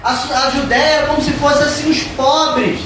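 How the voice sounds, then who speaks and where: A woman's voice: high-pitched, steady tone, moderate pace. A woman preaching a sermon in a church, emphatic and repetitive. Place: church hall. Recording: echoey church hall from far off, noisy.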